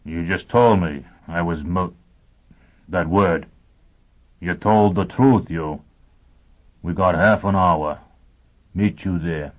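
A man's voice: low voice, slow pace, pauses often. A man voicing a sullen, hulking, menacing brute with blunt, uneducated, mumbled and growling speech. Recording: thin, phone-like band-limited sound.

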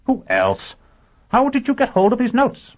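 A man voicing a brisk, skeptical, sharp-tongued lawyer in an audiobook, firing off clipped, incredulous questions. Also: mid-range voice, big pitch swings, husky, pitched above the performer's own voice. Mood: demanding. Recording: narrow phone-like sound.

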